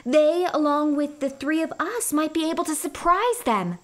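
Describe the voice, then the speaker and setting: A woman playing an earnest, conscientious starship doctor in a comic science fiction radio play, quick to object. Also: high-pitched, expressive. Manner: earnest, indignant.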